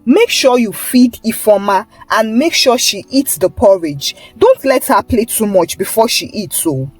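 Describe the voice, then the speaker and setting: A woman's voice: fairly high pitch, lively intonation, measured pace, husky, faint music behind. A woman voicing a stern, exasperated auntie in a folktale, scolding her greedy niece. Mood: instructing. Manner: scolding, suspicious, interjections like "oh" and "sha".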